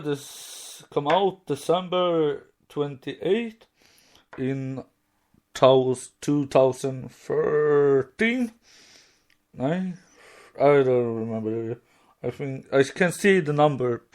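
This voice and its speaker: A man hosting a podcast, talking casually in a halting, hesitant way. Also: medium pitch, a little mellow. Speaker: podcast host.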